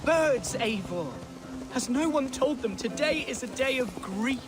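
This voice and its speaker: Young lord for a game: high-pitched, unhurried, theatrical and earnest, music underneath. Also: male voice, lively intonation. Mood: anxious, panicky. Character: earnest, tipsy young Saxon nobleman, excitable and grieving. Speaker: video game character actor. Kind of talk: dramatic video game acting. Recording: music behind the voice.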